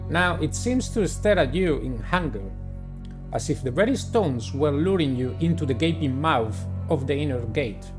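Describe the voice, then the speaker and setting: A man doing a playthrough of a visual novel, reading it aloud and commenting in a deliberate, halting way. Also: fairly high pitch, lively intonation, music in the background. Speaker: gamer playing and narrating a visual novel. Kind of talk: dramatic read-aloud with casual playthrough commentary.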